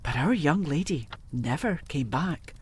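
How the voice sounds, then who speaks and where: A woman's voice: low voice, lively intonation, unhurried, breathy edge, exaggerated voice. A woman playing a loyal, plain-spoken older housekeeper in a gothic period audio drama, emphatic yet deferential. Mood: guarded. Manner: deferential, emphatic, old-fashioned servant speech.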